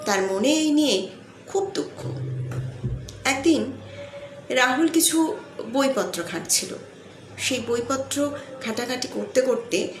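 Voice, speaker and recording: A woman telling a sentimental motivational story in an earnest, deliberate way, pausing between phrases. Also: high-pitched. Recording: echoey room, faint background noise.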